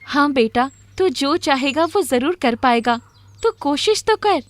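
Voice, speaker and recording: A woman narrator puts on a loving, encouraging mother in a cartoon moral tale. The voice is raised in pitch and doting. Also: lively intonation, unhurried. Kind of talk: cartoon moral-story dialogue.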